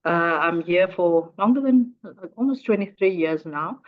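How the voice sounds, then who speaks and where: A woman's voice: on the low side, animated, normal speed. A South African woman in her fifties, an Afrikaans native speaker and teacher, talking in a casual interview and often repeating words as she searches for phrasing. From Northern Cape, South Africa. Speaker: guest, teacher. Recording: dull lo-fi audio.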